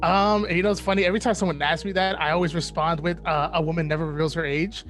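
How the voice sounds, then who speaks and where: Man of thirty, New Jersey accent, high-pitched voice, playful, informal, repeats phrases, casual interview chat. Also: steady tone, fast talker, a bit bright, faint music behind. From New Jersey, United States. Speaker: interview guest, esports caster and content creator.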